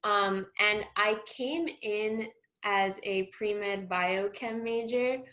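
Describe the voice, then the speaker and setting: Young woman, student panelist, medium pitch, gushing and slangy, casual personal storytelling. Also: drawn-out words, fairly bright tone. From Peru. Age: young adult. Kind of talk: informal personal account on a panel.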